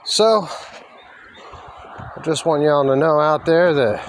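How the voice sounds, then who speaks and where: A man giving a rambling, folksy and wry walking vlog monologue, speaking haltingly and with strong opinions as he walks outdoors along a road. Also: fairly high pitch, noisy background. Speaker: vlogger.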